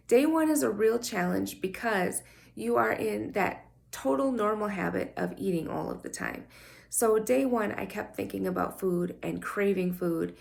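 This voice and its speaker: A woman speaks straight to the camera in an open, chatty vlog. Her voice is scratchy and thick with gravelly fry, as if she has only just woken up. She talks in a personal, candid way. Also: mid-range voice. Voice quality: scratchy morning voice. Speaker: vlogger. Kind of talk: casual talk to camera.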